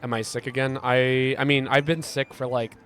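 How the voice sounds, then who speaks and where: A man streaming a game, chatting with his viewers and answering their messages. He is sick and congested, and his voice is hoarse, gravelly and deep. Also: flat delivery, moderate pace, a bit bright, faint music behind. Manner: rambling, reads chat aloud and answers it. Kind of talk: casual live-stream chat while gaming.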